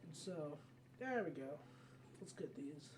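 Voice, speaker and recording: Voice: medium pitch, animated, slow. A video game let's player giving casual, rambling commentary and often correcting themselves mid-sentence. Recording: mic at a distance, background noise.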